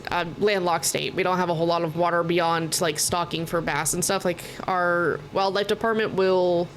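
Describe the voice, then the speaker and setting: An American woman rambling casually and informally as she livestreams a video game, sounding a bit tired. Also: fairly low pitch. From Oklahoma, United States. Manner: rambling, informal, occasional swearing. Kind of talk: casual chatty gaming stream commentary. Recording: noticeable background noise behind the mic.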